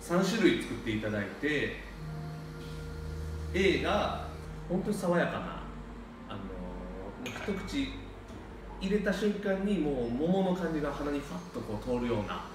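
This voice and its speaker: A man's voice: on the high side, big pitch swings, measured pace, pauses often. A man, a café owner chatting animatedly and laughing often as he talks through a coffee tasting. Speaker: coffee shop owner and host. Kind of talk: casual chat during a coffee tasting. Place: small bright café seating area, indoors. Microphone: camera from a few meters away. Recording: echoey room, voice from far off, background noise.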